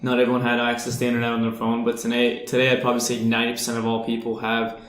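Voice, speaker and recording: Fast-talking young man, echoey room. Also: medium pitch, monotone, fairly bright tone. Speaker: podcast participant. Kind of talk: casual chat. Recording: roomy, echoing sound.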